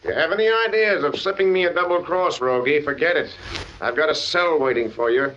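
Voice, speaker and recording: An American actor playing a shrewd, quietly threatening police lieutenant in a 1940s radio drama, deliberate and insinuating. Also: male voice, a little high, natural voice. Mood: coolly threatening. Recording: muffled, lightly noisy old radio recording.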